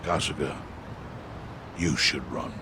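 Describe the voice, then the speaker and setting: An actor playing a calm, calculating older chairman in an English video game dub, gravelly and measured, laying things out with dry bluntness. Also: very deep voice, noisy background, heavily acted. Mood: dryly teasing.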